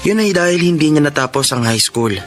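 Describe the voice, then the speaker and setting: A man's voice: a little high, animated, brisk, a bit bright, soft background music. A young man playing an earnest, hopeful working student and dutiful son in a Filipino radio drama, speaking respectfully and sincerely. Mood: humble, narrating.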